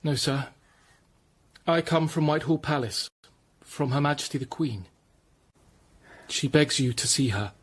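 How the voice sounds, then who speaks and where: Casting: young English Tudor lawyer, slightly high, breathy voice, formal, earnest and deferential, for radio drama. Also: male voice, a little slow, pauses often. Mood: urgent, discreet. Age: young adult. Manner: polite, careful, formal.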